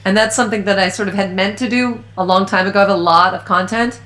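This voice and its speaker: A woman's voice: medium pitch, steady tone, fast talker, fairly bright tone. Enthusiastic woman interviewer, roomy echo. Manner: enthusiastic, affirming. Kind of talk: interview conversation.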